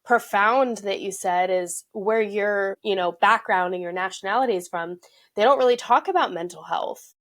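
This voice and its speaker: A woman's voice: mid-range voice, moderate pace, fairly bright tone. A woman hosting a podcast interview, relating to her guest in a casual, conversational way with fillers. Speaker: podcast host.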